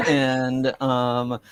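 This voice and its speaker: Man, game master, slow halting delivery, clean resonant tone, dry sound, improvised tabletop narration. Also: mid-range voice, a bit bright, clean tone, dry recording, rough recording.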